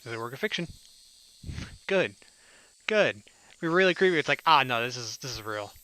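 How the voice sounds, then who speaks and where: A man's voice: medium pitch, animated, moderate pace, bright, forceful tone, a little hiss or hum, poor audio. A man reading a visual novel aloud as a let's play host, theatrical and mixing in casual joking commentary.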